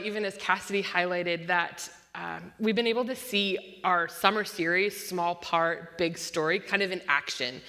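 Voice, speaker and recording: A woman pastor preaching a sermon with an unusually loud voice, talking fast and slipping in self-deprecating jokes. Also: lowish voice. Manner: self-deprecating joking asides; tends to speak fast. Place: church sanctuary. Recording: long church-hall reverb.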